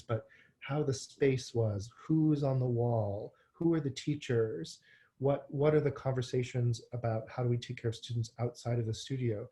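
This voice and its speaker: A man in his thirties from Hong Kong, a guest telling his life story in a relaxed, conversational way with frequent fillers and restarts. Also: medium pitch.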